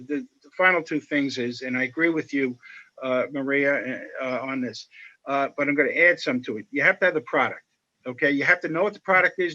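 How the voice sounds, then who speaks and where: Man with bright, forceful voice on muffled call. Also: a little high. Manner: measured, filler-heavy explaining. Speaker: panelist. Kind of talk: panel discussion on video call. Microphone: video call. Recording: muffled video call audio.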